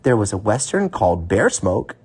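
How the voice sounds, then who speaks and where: A man's voice: on the low side, big pitch swings, moderate pace, a little mellow, husky, exaggerated voice. A man voicing a reassuring old grandfather bear who reminisces, in a children's storybook. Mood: reassuring, reminiscing. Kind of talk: children's storybook read aloud.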